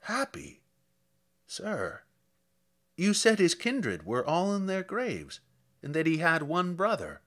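A man reads as a traveller in grief, anxiously questioning in a verse drama. He is hesitant and emotional. The voice is clear-edged and bright, slightly high, and slow in pace. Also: huge pitch swings, exaggerated voice. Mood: anxious, subdued. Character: grieving returned traveller anxiously questioning about a lost brother. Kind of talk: dramatic poetry reading.

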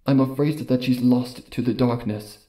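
A man voicing a regal, sorrowful female pony ruler in a halting, grave manner for a story. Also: mid-range voice, reverberant, crowd noise, close to an everyday voice. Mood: sorrowful, apologetic. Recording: music behind the voice.

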